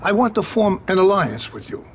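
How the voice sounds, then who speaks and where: An actor playing a courteous, measured and wary Sicilian mafia don in a video game cutscene. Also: male voice, sits high, big pitch swings, slight background noise.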